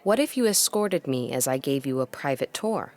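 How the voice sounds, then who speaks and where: An actress playing a reserved, keen-witted historian in a gothic audio drama, speaking formally with a dryly probing manner. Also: female voice, very deep voice, expressive, moderate pace, a little mellow. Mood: gracious.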